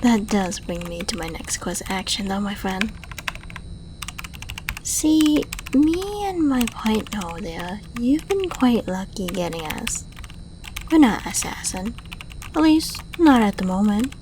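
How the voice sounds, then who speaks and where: A woman's voice: medium pitch, very animated, background noise. A woman playing a suave, flirtatious secret agent in an ASMR roleplay, speaking to the listener in a playful, polite and coolly menacing way. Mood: calm, teasing menace. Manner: playful, polite, coaxing, unhurried.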